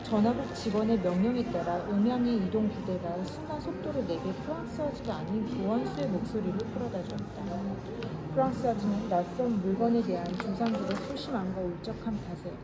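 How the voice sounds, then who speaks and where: A woman reading a literary novel aloud in a steady, even voice. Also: mid-range voice, fairly quick, very noisy. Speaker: audiobook narrator. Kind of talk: literary narration.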